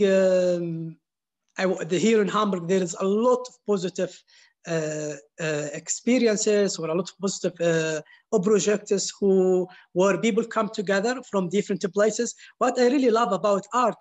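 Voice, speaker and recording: A man, an artist and former journalist, speaking on a panel in halting English. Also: high voice. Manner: searching, halting non-native phrasing. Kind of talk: panel talk.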